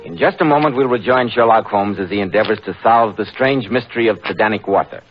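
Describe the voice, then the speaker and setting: A man's voice: fairly low pitch, fast talker, soft background music. An American man announcing a scripted 1940s radio commercial in a polished, emphatic selling manner. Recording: dull, noisy old radio recording.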